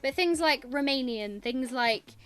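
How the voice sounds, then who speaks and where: A woman's voice: sits high, animated, measured pace, fairly bright tone, smooth. A young Welsh woman chatting casually on a podcast about her writing, rambling and trailing off with fillers. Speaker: podcast guest, author. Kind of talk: casual chat.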